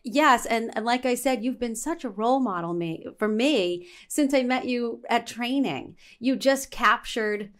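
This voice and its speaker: A woman's voice: on the high side, unhurried, hardly any pauses, a bit bright. A woman co-hosting a casual podcast, speaking in an effusive, admiring way. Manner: effusive, admiring, laughs easily. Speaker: podcast co-host.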